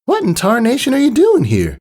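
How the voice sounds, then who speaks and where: A man's voice: extremely high pitch, huge pitch swings, a little fast, darkish tone, pitched above the performer's own voice, exaggerated voice. A man voicing an eager, friendly young country filly with an American Southern accent and a folksy way of exclaiming, as in a storybook reading. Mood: surprised. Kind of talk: storybook character voice.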